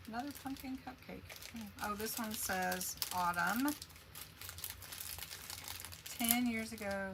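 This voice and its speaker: A woman's voice: medium pitch, gravelly, background noise. A woman hosting a casual craft livestream, chatting along in a rambling way as she works and answers viewer comments.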